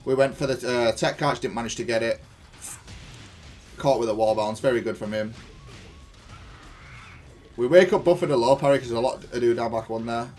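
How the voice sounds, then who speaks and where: A man with a mid-pitched voice talks through his own fighting-game match. His delivery is informal and matter-of-fact, like a player reviewing a replay for viewers. He is openly self-critical as he analyses the play. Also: a little mellow. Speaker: gaming content creator reviewing own match. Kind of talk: casual gameplay analysis commentary.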